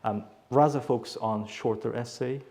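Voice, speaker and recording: A man's voice: medium pitch, fairly even intonation, moderate pace, mellow. A man with an Uzbek accent explaining things as a teacher in an interview. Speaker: IELTS teacher, interview guest.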